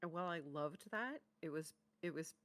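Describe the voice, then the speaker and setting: Blunt, expressive woman co-host. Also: sits low, normal speed, no room sound. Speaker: reaction show co-host. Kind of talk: casual chat reacting to game trailers.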